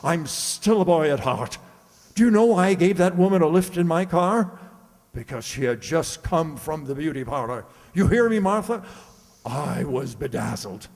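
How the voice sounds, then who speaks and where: A man's voice: on the high side, animated, moderate pace, creaky, darkish tone. A man playing a vain, blustering middle-aged husband, pompous and exasperated, in a radio comedy. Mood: indignant, exasperated. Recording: echoey hall, long reverb.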